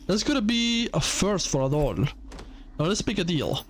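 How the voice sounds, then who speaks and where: A man voicing a brisk, bossy female devil in a gothic visual novel, giving orders. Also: high voice, big pitch swings, slight background noise.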